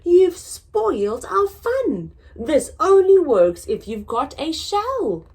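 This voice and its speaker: A woman voicing a fretful, excitable snail from a picture book, urgent and exclaiming. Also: high-pitched, slow pace, voice pushed up, full character voice. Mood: cross, scolding. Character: excitable, fretful snails who scold and fuss. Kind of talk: children's picture book character voice.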